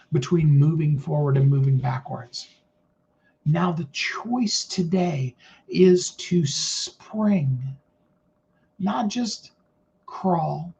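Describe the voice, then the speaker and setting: A middle-aged man with a naturally high voice giving an earnest, rambling motivational talk with many fillers and restarts. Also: vocal fry, warm tone. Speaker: motivational speaker.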